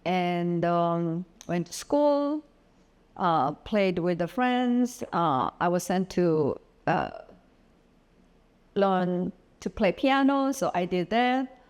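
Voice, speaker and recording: Korean-born woman, middle-aged, talks super slow with lots of ums, lowish voice. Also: lively intonation, darkish tone, smooth. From South Korea. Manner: slow, hesitant, many pauses and fillers. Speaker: guest. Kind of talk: casual interview. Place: home studio.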